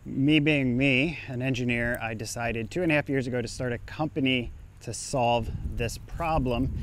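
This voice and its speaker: A man's voice: medium pitch, a little hiss or hum. Young man explaining outdoors on a street. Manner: casual, conversational, gestures while explaining. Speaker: engineer and startup founder. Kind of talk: explainer vlog to camera.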